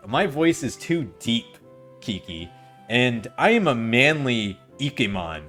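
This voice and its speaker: A man's voice: medium pitch, sing-song, slow pace, a bit bright, faint music behind. Ironic playful male streamer. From Canada. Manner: ironic, playful, self-mocking. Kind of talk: reading a visual novel aloud and chatting with chat.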